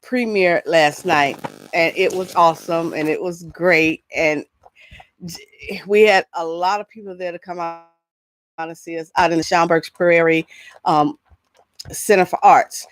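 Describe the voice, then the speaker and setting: A woman's voice: on the low side, fairly even intonation, a little slow. A middle-aged woman hosting a casual entertainment vlog, chatting loosely and often rambling off into asides. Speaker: host of an entertainment news show. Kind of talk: casual solo vlog chat with announcements.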